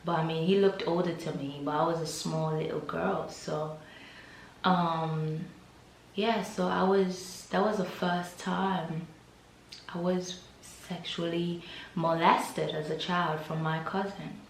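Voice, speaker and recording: A woman's voice: lowish voice, fairly even intonation, slow, gravelly, echoey room, slight background noise, low-quality recording. A young woman with a Nigerian accent, telling a personal story in a reflective, hesitant way. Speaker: vlogger.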